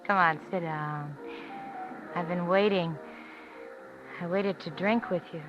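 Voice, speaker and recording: A woman's voice: on the low side, slow pace. An actress playing a coaxing, soothing young lady in a 1950s radio drama. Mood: placating. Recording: muffled 1950s radio recording, music behind.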